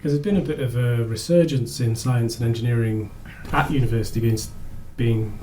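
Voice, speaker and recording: A man's voice: mid-range voice, steady tone, warm tone, a little hiss or hum, rough recording. A man hosting a podcast, chatting casually and rambling through his thoughts, often restarting sentences. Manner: rambling, halting, many restarts and laughs. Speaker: podcast host. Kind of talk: casual interview chat. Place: northeast England.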